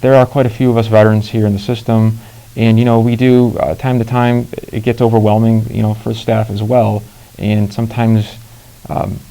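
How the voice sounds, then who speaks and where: Casting: male veteran interviewee, mellow monotone with vocal fry, informal, halting, reflective. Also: lowish voice, poor audio.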